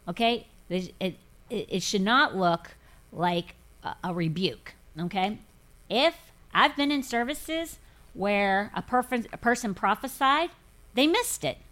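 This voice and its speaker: A woman in her fifties speaks with a forthright, preachy conviction as she hosts a relaxed podcast chat. Her delivery is emphatic, with repeated words and firm pronouncements. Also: medium pitch, measured pace. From grew up in Pentecostal churches. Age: 50s. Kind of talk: casual podcast chat on faith.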